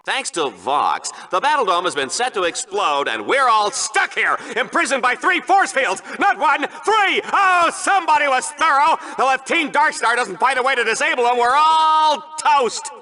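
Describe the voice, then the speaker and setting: A man's voice: very high voice, very animated, moderate pace, hardly any pauses, pushed and bright, heavily acted. An actor playing a flamboyant, vain, manic male announcer in a video game, commentating in a hammy, mock-dramatic way. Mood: frantic. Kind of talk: over-the-top video game sports commentary.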